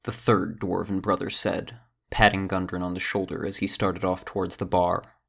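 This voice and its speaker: A male narrator with a low, gravelly voice tells a fantasy tale in a steady storytelling manner. His deep tone carries a rough edge throughout. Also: moderate pace. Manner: steady storytelling, does all character voices. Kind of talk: audio drama narration.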